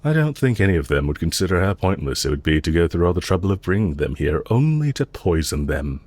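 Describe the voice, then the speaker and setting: A man playing a low, husky, courteous villain. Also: very animated, darkish tone, no room sound. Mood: amused, composed. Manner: measured, formal, politely condescending. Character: calm, courteous villain leader, quietly menacing and amused. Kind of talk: audio roleplay, intimate scripted acting addressed to listener.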